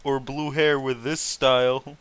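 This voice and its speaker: A man's voice: mid-range voice, flat delivery, measured pace, a bit bright, clean tone, no room sound. A man hosting a casual read-aloud with mocking commentary, foul-mouthed and incredulous, often breaking off to react. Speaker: host reading fan fiction aloud and commenting.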